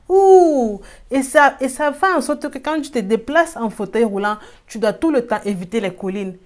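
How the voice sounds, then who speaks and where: Vlog host, woman, non-native French, chatty and lively, mid pitch, stumbles for words. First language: not French. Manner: searches for words often, lively exclamations. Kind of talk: casual personal vlog narration.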